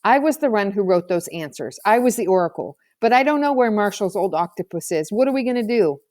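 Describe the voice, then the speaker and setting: A woman's voice: medium pitch, animated, brisk, darkish tone, no echo, close to an everyday voice. A brash schoolboy from a storybook, voiced by a woman, full of slang and bluster. He boasts and justifies himself at every turn. The sound is close and dry. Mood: urgent. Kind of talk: storybook character voice.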